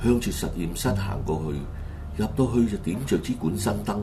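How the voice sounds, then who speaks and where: A man's voice: lowish voice, expressive, brisk, noisy background. A man with a Hong Kong Cantonese accent narrating a horror radio drama in a dramatic scripted storytelling style. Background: loud music.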